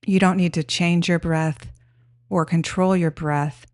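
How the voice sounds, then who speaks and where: A woman leading a guided meditation with gentle, unhurried, simple instructions. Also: lowish voice, steady tone, no echo, studio quality.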